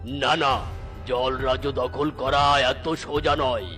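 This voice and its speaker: A man's voice: mid-range voice, level tone. A man voicing a stern old demon sorcerer. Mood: commanding. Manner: commanding, grave, slow pronouncements. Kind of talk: cartoon story voice acting. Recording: loud background music.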